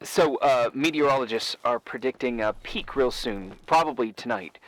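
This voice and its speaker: An actor playing an awkward, absent-minded but helpful man, hesitant and gently joking, in a naturalistic video game style. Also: medium pitch, expressive, moderate pace, no echo, lightly acted, poor audio. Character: awkward, absent-minded, eager-to-help workaholic man on the phone.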